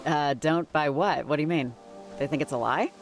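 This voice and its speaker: A woman's voice: deep voice, normal speed. Game role: laid-back, sarcastic woman, dry and understated, naturalistic delivery, light music underneath. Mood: puzzled, sceptical. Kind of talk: naturalistic video game dialogue.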